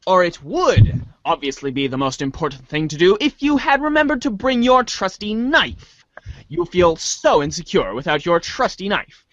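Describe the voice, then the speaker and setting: High-pitched, animated man reading a story. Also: normal speed, no echo, highs cut off. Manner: theatrical, stumbles over words and rereads them. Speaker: voice reader in a webcomic reader's theater. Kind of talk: dramatic reading of narration from a script.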